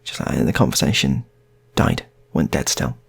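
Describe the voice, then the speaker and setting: Male British storyteller, loose and rambling improvised comedy, widely varied pitch, rough husky tone. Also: very animated, fairly quick. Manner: rambling, repetitive. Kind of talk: improvised casual comic storytelling.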